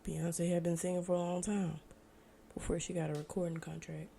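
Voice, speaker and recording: Mellow young American woman, casual and low. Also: level tone, fairly quick, gravelly. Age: 20s. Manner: informal, reflective, conversational. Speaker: podcast host. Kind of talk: casual solo podcast monologue. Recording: noticeable background noise.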